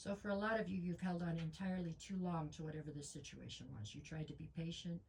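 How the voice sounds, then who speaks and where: A woman's voice: lowish voice, fairly even intonation, fast, gravelly, a little mellow. A woman reading tarot cards in a chatty way, losing her voice and with a stuffy nose. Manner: chatty, frequent ums, talks straight to viewers. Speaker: tarot reader.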